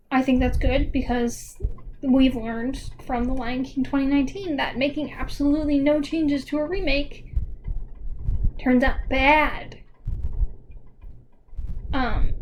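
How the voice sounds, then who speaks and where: A woman's voice: sits high, a little mellow, a little hiss or hum, low-quality recording. A young woman giving a casual video essay commentary in a chatty, informal way with dry, joking asides. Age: young adult.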